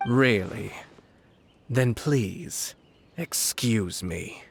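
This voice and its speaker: Low-voiced, composed young nobleman. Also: gravelly, heavy background noise, heavily acted. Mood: calm, commanding. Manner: measured, formal, calmly authoritative. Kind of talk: English game dub acting.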